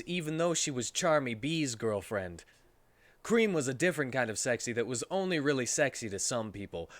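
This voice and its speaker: A man's voice: mid-range voice, no room sound. A man streaming a dramatic reading of comic fan fiction, narrating in a theatrical, mock-serious way.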